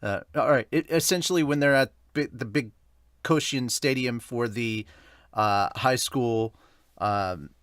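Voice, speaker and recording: A man's voice: mid-range voice, measured pace, fairly bright tone, no echo, clean studio sound. A man hosting a podcast, chatting casually and rambling, often correcting himself as he reviews manga. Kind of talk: casual chatty review intro with live commentary.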